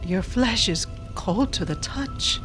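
An actress playing an anguished, devout and fearful mother in an audio drama, with a fervent, deliberate, grief-stricken delivery. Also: medium pitch, expressive. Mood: grieving, hushed. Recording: loud music under the voice.

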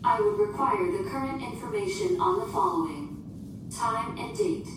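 A woman playing a literal, formal ship computer in a science fiction audio drama, speaking in precise, emotionless phrasing. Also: high voice. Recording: very echoey, distant, noisy ambience.

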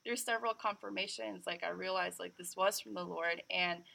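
A woman's voice: on the low side, steady tone, normal speed, vocal fry, bright, forceful tone, poor audio. A young adult woman from California, giving her personal testimony as a podcast guest in a chatty way, with lots of "like". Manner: chatty, sprinkled with "like". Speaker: guest missionary.

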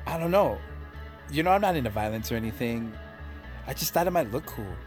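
An actor playing a modest, easygoing young artist in an audio drama, casual and self-effacing. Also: male voice, mid-range voice, expressive, brisk, soft background music, natural voice. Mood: unassuming. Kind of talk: naturalistic audio fiction acting.

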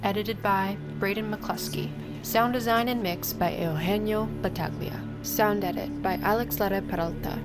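Female credits announcer for audio fiction, medium pitch, scripted read over prominent music. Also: normal speed, few pauses. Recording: very loud music behind.

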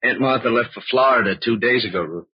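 An actor playing a stern, suspicious husband who talks bluntly in an old-time radio drama. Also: male voice, mid-range voice, fast talker, husky, dry recording, close to an everyday voice. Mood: accusing. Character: stern, suspicious working husband, bossy but caring. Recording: dull, band-limited old radio recording.

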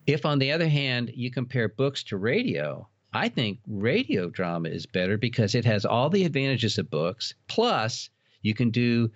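A man's voice: mid-range voice, very animated, moderate pace. A man speaking as an interview guest, explaining his opinions in a casual, conversational style. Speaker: interview guest, author and horror enthusiast. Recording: dull, roomy, echoing lo-fi sound.

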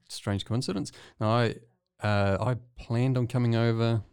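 A man's voice: medium pitch, steady tone, unhurried, a little mellow, clear, resonant tone, dry recording, studio quality. A man with a New Zealand accent chatting casually as an interview guest. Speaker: guest, visiting artist.

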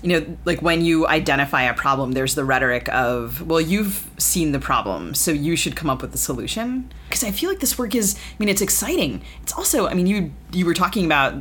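Low-voiced woman host, musing with fillers, faint hiss in the background. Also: very animated, brisk, few pauses, fairly bright tone. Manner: thoughtful, many fillers. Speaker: podcast host. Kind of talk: casual podcast conversation.

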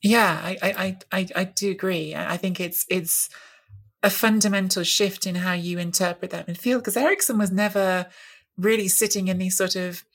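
A woman's voice: medium pitch, steady tone, moderate pace, husky. A woman co-hosting a football chat, analytical and thoughtful, sometimes hunting for the right word. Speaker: football podcast co-host.

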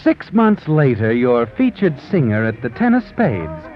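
An actor playing a sardonic, all-knowing narrator of a 1940s radio mystery, taunting the heroine with dramatic needling questions. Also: male voice, medium pitch, huge pitch swings, a little slow, lo-fi sound. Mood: sardonic, urgent. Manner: dramatic second-person address, needling rhetorical questions. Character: sardonic, omniscient male narrator taunting the story's guilty heroine. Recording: vintage 1940s radio with music behind.

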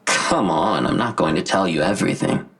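A man playing a smug, gloating imprisoned villain in an audio drama, slow and mocking, with a gravelly, husky voice. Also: deep voice, fairly bright tone, full character voice. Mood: taunting, impatient.

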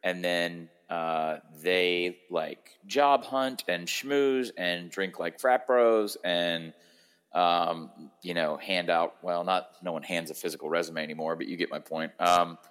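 A man hosting a sports podcast, hoarse and hungover, rambling casually with a sardonic streak. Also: low-pitched, slow, a bit bright. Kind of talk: casual football podcast chat.

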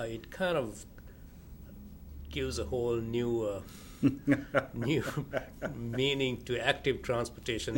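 A man, a city official, answering interview questions in a calm, precise, explanatory way. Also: medium pitch, a little hiss or hum. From Sri Lanka. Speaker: public works director, guest.

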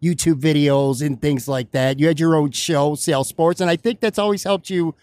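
A man with a slightly high-pitched voice hosts a casual podcast chat, asking long, friendly, rambling questions. The sound is dry and close, recorded in a bar. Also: normal speed, a little mellow, no room sound. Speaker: podcast host. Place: bar or restaurant.

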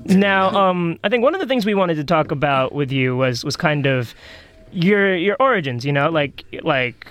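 A man co-hosts a casual chat, asking questions with a lot of fillers and restarts. His voice is a bit high for a man. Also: animated, moderate pace, a bit bright. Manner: hesitant, filler-heavy. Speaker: co-host.

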